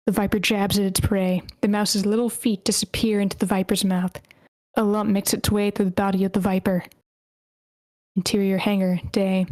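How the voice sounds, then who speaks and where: A woman narrating stage directions at a screenplay table read in a measured way, with the odd stumble over a word. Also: medium pitch, a little mellow, dry recording. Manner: measured, slightly stumbling reading. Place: online meeting.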